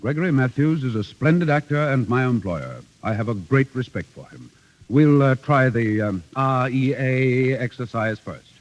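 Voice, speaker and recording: An actor playing a devoted, exacting acting teacher with precise, theatrical diction, in an old-time drama style. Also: male voice, mid-range voice, lively intonation, normal speed, natural voice. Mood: brisk, evasive. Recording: dull, muffled vintage recording.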